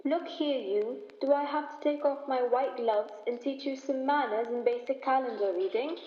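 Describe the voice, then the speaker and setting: Comedy sketch: woman as fussy, indignant butler, high voice, reverberant stage. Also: moderate pace, close to an everyday voice. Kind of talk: campy radio comedy sketch. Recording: echoey hall, dull stage recording.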